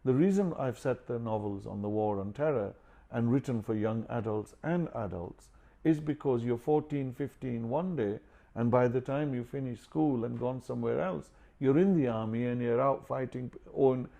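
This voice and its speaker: A man's voice: mid-range voice, normal speed, gravelly, mellow. A man born in Pakistan, an author and publisher, speaking earnestly and outspokenly in an interview. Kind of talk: reflective interview talk.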